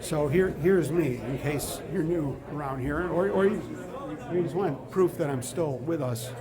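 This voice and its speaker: Hoarse man hosting over crowd noise. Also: on the high side. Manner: rambling, halting restarts, joking. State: a little hoarse. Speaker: show host. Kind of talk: casual live-stream chat. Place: large indoor hall with a crowd.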